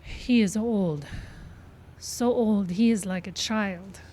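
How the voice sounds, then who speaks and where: A woman's voice: medium pitch, slow pace, dark tone. An actress playing a proud, solemn middle-aged woman in a radio western, speaking in formal, simple sentences. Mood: solemn, dignified. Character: proud, solemn middle-aged Pawnee daughter of old man. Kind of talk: old-time radio western acting. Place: live stage show in a park.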